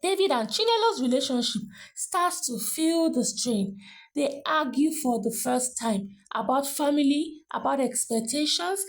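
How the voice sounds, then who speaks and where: Female narrator, high lively voice with a creaky edge, for dramatic scripted storytelling.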